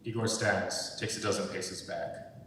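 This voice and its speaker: A young man with a fairly low voice reads stage directions as scripted narration. He sounds distant in a very echoey room, over faint rumbling noise. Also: steady tone, measured pace, a bit bright. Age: young adult. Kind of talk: scripted narration at a dramatic reading.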